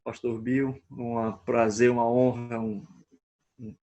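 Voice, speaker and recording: A man, a pastor in a panel discussion, explains things in a careful, measured way, restating his terms as he goes. His voice sits at an ordinary middle pitch. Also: little pitch movement, warm tone, lo-fi sound.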